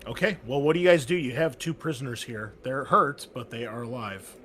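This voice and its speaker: A man running a tabletop game as game master, narrating in a casual, halting way full of fillers. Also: medium pitch, a bit bright, slight background noise.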